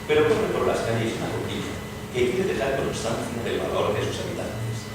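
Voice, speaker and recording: A man's voice: mid-range voice, lively intonation, moderate pace, distant mic, very noisy. A man narrating a scripted historical drama in a theatrical, emphatic way. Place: live reading in an echoing venue. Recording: echoey hall from afar, loud music behind.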